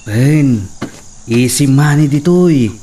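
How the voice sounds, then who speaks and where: A man playing a smooth-talking burglar who coaxes a young man into easy work. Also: medium pitch, expressive, slow pace, warm tone. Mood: coaxing. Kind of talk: amateur drama acting. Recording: distant mic with insect noise.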